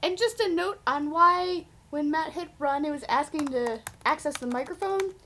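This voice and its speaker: A woman's voice: high voice, moderate pace, pushed and bright, a little hiss or hum. A woman voicing a chirpy, eager mascot creature who playfully co-hosts a coding show. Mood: explanatory. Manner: chirpy, exclamatory, eager co-host. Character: Dash, perky female mascot creature supervising a programmer.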